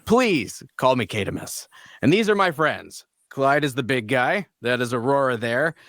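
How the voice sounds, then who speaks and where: Casting: male actor for charismatic fantasy party leader, silver-tongued, theatrically polite, very lively delivery. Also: medium pitch, moderate pace, no room sound, clean studio sound. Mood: smooth, reassuring. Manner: flattering, theatrically polite, persuasive. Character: charming, smooth-talking male leader of fantasy adventurers. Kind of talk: audio drama table read.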